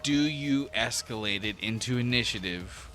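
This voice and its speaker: A man's voice: medium pitch, normal speed, loud music behind the voice. A man narrating a tabletop fantasy game as game master, in a casual manner. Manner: casual, swearing.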